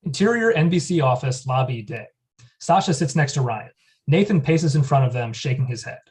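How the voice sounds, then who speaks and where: Brief: male reader for stage directions, mid-pitched, matter-of-fact. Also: expressive, moderate pace, a little mellow. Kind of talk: flat reading of scene directions.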